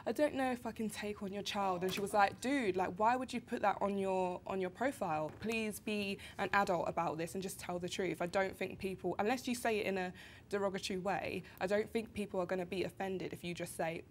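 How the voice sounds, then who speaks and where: A woman's voice: medium pitch, fairly quick, a little hiss or hum. A young woman, telling dating stories in a casual panel chat and acting out what people said. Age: young adult. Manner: animated storyteller acting out quotes. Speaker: panel guest. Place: small studio with grey backdrop.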